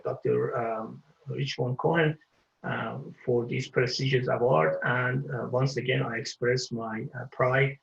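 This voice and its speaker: An older man from Iran giving an award acceptance speech, halting and full of filler pauses. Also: medium pitch, vocal fry, a bit bright, echoey room, muffled recording. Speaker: award recipient.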